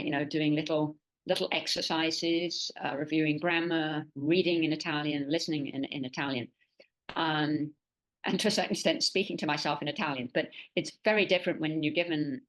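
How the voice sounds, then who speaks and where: An older woman with a low voice speaks as a guest in a casual interview. She is reflective and somewhat halting, often repeating a phrase while she thinks it through. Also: fairly even intonation, rough recording. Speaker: interview guest, adult language learner.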